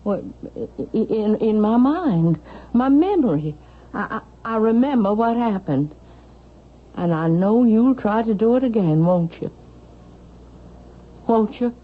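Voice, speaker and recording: A woman's voice: on the high side, expressive, takes it slowly, long pauses. An actress playing a frightened, accusing, insistent woman in a 1970s radio drama. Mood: faltering, fearful insistence. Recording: phone-like, narrow vintage radio sound.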